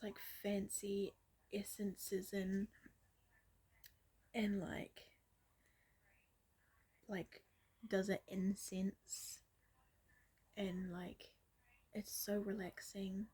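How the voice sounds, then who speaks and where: Slow breathy whispering young woman. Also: mid-range voice. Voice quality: soft whisper. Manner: rambling, many fillers like "like". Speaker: storyteller. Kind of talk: whispered ASMR storytelling.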